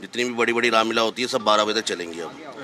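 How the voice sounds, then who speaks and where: A man's voice: lowish voice, fast talker, pushed and bright. A man giving spoken answers as an interviewee in a news report.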